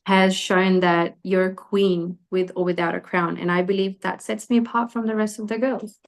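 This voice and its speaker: A woman's voice: mid-range voice, fairly even intonation, moderate pace. A young woman from Fiji, a pageant contestant answering interview questions in a poised, earnest and self-assured manner over a video call.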